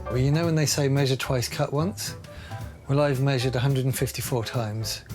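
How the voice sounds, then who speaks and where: A man's voice: mid-range voice, steady tone, normal speed. A man explaining his craft work aloud, unscripted, with dry, self-deprecating humour. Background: music.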